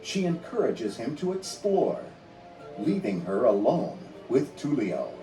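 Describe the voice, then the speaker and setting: A man narrating a scripted storybook read-along in a measured, dramatic storytelling manner. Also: mid-range voice, very animated, reverberant, distant mic, music in the background, poor audio.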